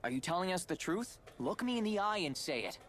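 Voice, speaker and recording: A man's voice: high-pitched, very animated, brisk, a bit bright, a little hiss or hum. A man playing an earnest, skeptical young hero in a video game, with a direct manner. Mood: suspicious. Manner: direct, questioning, businesslike.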